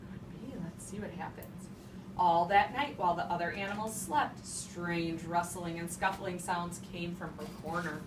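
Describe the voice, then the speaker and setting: A woman teacher reads a storybook to a class and puts questions to the children. She sounds far from the mic in a roomy, clearly noisy space, and often echoes back what pupils say. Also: lowish voice, normal speed. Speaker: teacher reading aloud to young children. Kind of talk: interactive storybook read-aloud with class questions.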